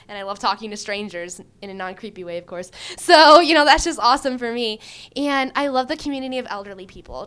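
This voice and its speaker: A young woman from Michigan answering interview questions in a chatty, animated way with lots of ums and likes, into a handheld mic in an indoor room. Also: on the high side. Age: young adult. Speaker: job candidate. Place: indoor room before a banner. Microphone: handheld mic held by the interviewer.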